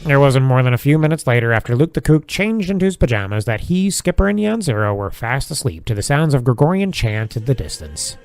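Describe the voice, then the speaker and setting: A man's voice: mid-range voice, sing-song, fairly quick, hardly any pauses, a little mellow, smooth, faint music behind. A man reading scripted narration for an audio drama.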